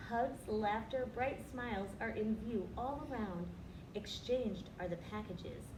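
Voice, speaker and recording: Expressive woman storyteller in an echoey room. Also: on the high side, unhurried. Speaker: storyteller reading aloud to children. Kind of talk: expressive rhyming picture-book reading with asides. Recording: roomy, distant, noisy recording.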